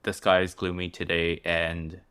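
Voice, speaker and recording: A man hosting a podcast interview, asking questions in a thoughtful, measured way with some restarts. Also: sits low, monotone, a bit bright, smooth. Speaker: podcast host. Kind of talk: interview conversation.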